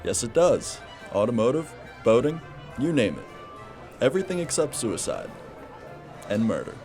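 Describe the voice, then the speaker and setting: A man's voice: medium pitch, very animated, moderate pace, darkish tone, soft background music. A man playing a genial older insurance salesman in a noir audio drama, with chummy sales patter. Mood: businesslike. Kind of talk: noir radio drama dialogue.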